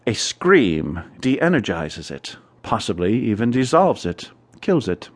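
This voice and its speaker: An actor playing an obsessed, erudite scientist who studies fear, in a radio drama, with a theatrical delivery. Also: male voice, fairly low pitch, sing-song, slow pace, full character voice. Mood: measured, assured. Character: obsessed, distraught male scientist studying fear.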